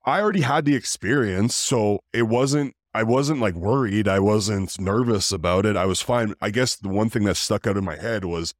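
Deep-voiced man in relaxed podcast chat. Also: normal speed, hardly any pauses, dry recording, clean studio sound. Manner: rambling, with many fillers and restarts. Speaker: podcast host. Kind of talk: casual interview chat.

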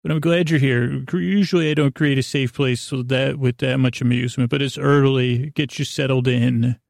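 Middle-aged male podcast host, gravelly and creaky, goofy halting bedtime rambler, close dry sound. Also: medium pitch, fairly even intonation, darkish tone, no room sound. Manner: meandering, halting, repeats himself, goofy.

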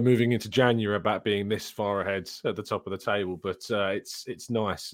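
A British man hosting a casual podcast, chatty and quick to joke. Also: mid-range voice, fairly bright tone. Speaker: host.

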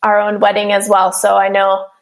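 A woman's voice: mid-range voice, completely flat delivery, normal speed. A woman hosting an interview, talking in a bubbly, giggly way with lots of fillers. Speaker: podcast host.

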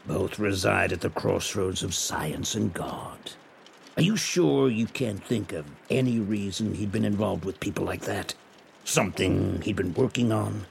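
Gravelly, sardonic noir detective. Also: male voice, lowish voice, slight background noise. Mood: measured, intrigued. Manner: dry, wry, measured delivery. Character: sardonic, world-weary 1930s male private detective. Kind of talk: scripted noir audio drama acting.

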